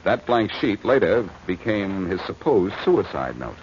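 An actor playing a cocky, quick-witted amateur detective in a 1940s radio crime drama, wisecracking in emphatic slang. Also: male voice, deep voice, very animated, darkish tone. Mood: smug, explaining. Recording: muffled, hissy old radio recording.

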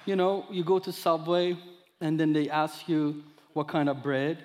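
A man's voice: on the high side, measured pace, big-room sound. Iranian-accented man preaching his story. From Iran. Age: 30s. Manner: expressive storyteller, acts out dialogue, audience laughter. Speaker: guest speaker. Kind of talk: sermon with personal testimony.

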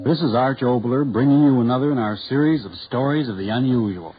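A man hosting a 1940s radio horror show, calmly and gravely warning listeners about the stories ahead. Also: medium pitch, a little fast. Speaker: host. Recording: muffled 1940s radio with music behind.

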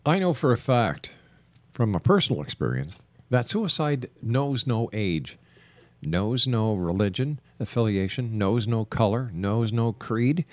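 A man hosting a radio interview, speaking in deliberate, emphatic phrases. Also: mid-range voice, lively intonation, dry recording. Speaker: radio host. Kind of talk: radio interview with broadcast announcements.

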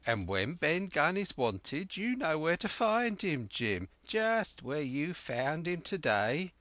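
A man doing a high, eager old castaway sailor. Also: very animated, slow pace, fairly bright tone, no echo, exaggerated voice. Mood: earnest. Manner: rustic old sailor dialect, pleading. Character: half-wild old male castaway, eager, cunning.

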